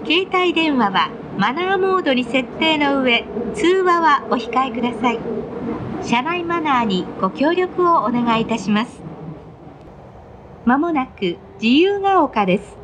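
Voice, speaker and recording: A woman makes formal announcements on a train. Her voice is high and moves melodically up and down. She is courteous and scripted, with faint train noise around her. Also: animated, measured pace. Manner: formal, polite. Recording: noisy onboard train recording. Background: train running noise.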